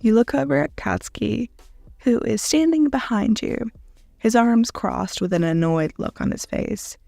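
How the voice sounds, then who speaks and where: A woman narrating a scripted second-person story in a dramatic manner with deliberate pauses. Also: on the high side, gravelly, mellow, no echo, soft background music. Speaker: narrator of fan fiction audio.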